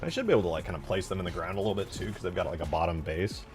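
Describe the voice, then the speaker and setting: A man chatting casually as a livestream host, excitable and informal, with slangy, sweary talk. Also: deep voice, very fast, faint music behind. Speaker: streamer, host. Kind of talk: casual livestream chat and commentary while modding a game.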